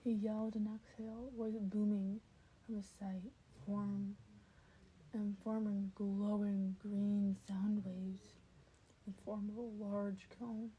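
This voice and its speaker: A woman's voice: mid-range voice, little pitch movement, takes it slowly, muffled recording, a little hiss or hum. A woman narrating fan fiction as an amateur audiobook reader, now and then stumbling and repeating a phrase. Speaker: podfic reader.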